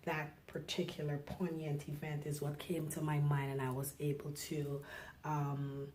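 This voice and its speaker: A middle-aged woman from Guyana, a nurse, speaking softly and reflectively in a personal interview, pausing often with fillers. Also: low-pitched, completely flat delivery, slight background noise. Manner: soft-spoken, reflective, halting with fillers.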